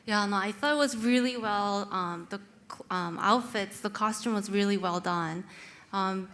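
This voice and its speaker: A woman's voice: mid-range voice, moderate pace, a bit bright. A woman moderating a live panel interview on stage, friendly and a little scattered. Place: stage before live audience.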